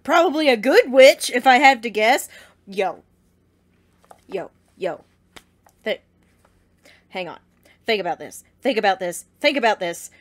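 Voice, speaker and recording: A woman hosting a casual reaction vlog, gushing and slangy in a dramatic, excited fan's way. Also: on the high side, moderate pace. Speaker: reaction video host.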